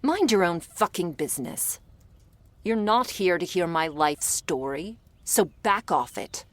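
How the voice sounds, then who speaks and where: A woman playing a jaded, prickly monster hunter in a roleplay audio drama, blunt and sardonic, with a low gravelly voice. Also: expressive, unhurried, no echo. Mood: irritated, defiant.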